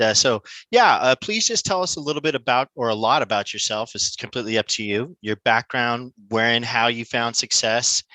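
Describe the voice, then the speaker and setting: A man's voice: mid-range voice, sharp tone, dry recording, rough recording. A man hosting a podcast, reading an upbeat intro and then chatting effusively with his guest, full of "you know"s. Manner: enthusiastic, effusive, rambling with many "you know"s. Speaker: podcast host. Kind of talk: scripted intro, then casual interview chat.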